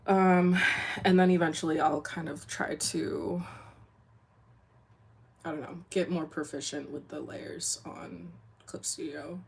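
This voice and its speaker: Deep, husky young woman streamer. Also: fairly even intonation, slow, a little mellow. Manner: rambling, lots of "like" and "um". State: sniffling. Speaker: comic artist streamer. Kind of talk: casual livestream chat while drawing.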